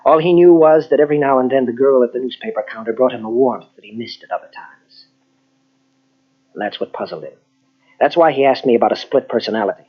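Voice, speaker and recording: An actor playing a grave, earnest psychologist in an old-style radio mystery, husky and deliberate, with dramatic pauses. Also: male voice, medium pitch, lightly acted. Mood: grave, accusing. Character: earnest, grave male psychologist confronting a killer. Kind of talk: 1940s radio mystery acting. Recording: dull lo-fi old radio tape.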